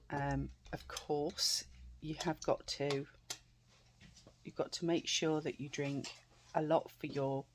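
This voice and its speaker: A British woman vlogging casually to camera, chatty and rambling, her voice a bit croaky. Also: low-pitched, a little hiss or hum. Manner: chatty, rambling with many fillers and restarts.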